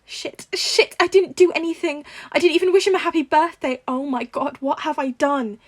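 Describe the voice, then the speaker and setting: A woman's voice: high voice, fairly even intonation, moderate pace, no echo, clean studio sound, pitched above the performer's own voice, natural voice. A woman voicing a guilt-ridden, emotional aunt of a teenage boy in a story reading. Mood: panicked. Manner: emotional, tearful, self-blaming.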